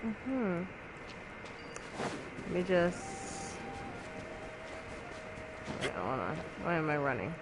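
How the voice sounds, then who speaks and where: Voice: medium pitch, sing-song, extremely slow, pauses often, background noise. A gamer giving casual gameplay commentary, talking to themselves in short, scattered remarks. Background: game music.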